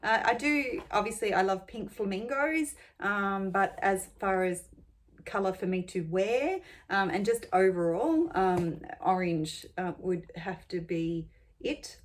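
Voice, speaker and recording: An Australian woman in her forties answering tag questions in a casual, rambling way, with many ums and pauses. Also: medium pitch, animated. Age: 40s. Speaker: beauty vlogger.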